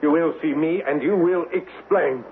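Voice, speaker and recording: A man playing a proud cavalry major, a clipped and forceful officer, in old-time radio drama style. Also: fairly high pitch, normal speed, lightly acted. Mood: hurt, insistent. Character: handsome, indignant British cavalry major, jilted suitor. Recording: tinny band-limited old radio broadcast, hiss.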